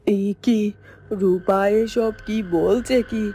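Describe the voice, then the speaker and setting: Mellow, worried mother bird voiced by a woman. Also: medium pitch, steady tone, takes it slowly, faint music behind. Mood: worried, puzzled. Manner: fretful, wondering aloud. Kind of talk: Bengali fairytale cartoon voice acting.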